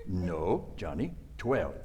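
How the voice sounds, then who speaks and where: An actor playing a nervous sidekick doctor in a radio play, pleading in agitated, repetitive broken English. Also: male voice, sits low, big pitch swings, takes it slowly, darkish tone, full character voice. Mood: exasperated.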